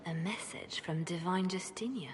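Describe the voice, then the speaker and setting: An actress playing a composed, devout, pragmatic spymaster in a video game, speaking in a measured, earnest way. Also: female voice, on the low side, natural voice. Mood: guarded, serious. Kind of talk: video game cutscene dialogue. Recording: long reverb, faint background noise.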